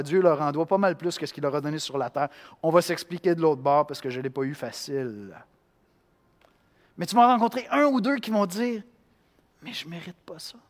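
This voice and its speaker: Animated Québécois preacher in a reverberant hall. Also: male voice, a little high. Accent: Québécois French. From Quebec. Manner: animated, acts out voices, swings from loud to hushed. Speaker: pastor. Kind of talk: sermon.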